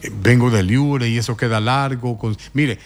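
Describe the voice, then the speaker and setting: A man hosting a morning radio talk show, commenting with indignant emphasis and sudden rises in pitch. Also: steady tone, normal speed.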